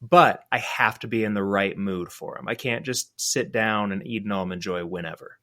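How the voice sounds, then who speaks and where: Lowish-voiced man giving casual opinions. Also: normal speed, fairly bright tone. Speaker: podcast panelist. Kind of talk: casual chat about games and candy.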